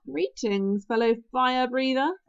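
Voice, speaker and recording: A woman voicing a sly, menacing leopard-sized hunting dragon in a storybook, slowly purring and hissing. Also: high-pitched, lively intonation, clear, resonant tone, dry recording, highs cut off, full character voice.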